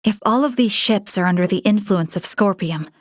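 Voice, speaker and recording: A woman voicing an earnest, dutiful young female starship first officer in a video game, with formal, clearly articulated military speech. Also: mid-range voice, moderate pace, no echo, studio quality, lightly acted. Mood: earnest, composed. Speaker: game character voice actor.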